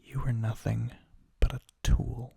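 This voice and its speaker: A man's voice: mid-range voice, level tone, a little slow, vocal fry, dark tone, slightly rough, heavily acted. A man playing a bitter, mocking villain in an ASMR roleplay monologue, speaking theatrically with sardonic asides and often dropping toward a whisper. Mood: contemptuous, menacing. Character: bitter, mocking male villain revolutionary monologuing at a fallen hero. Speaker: voice actor in an audio roleplay.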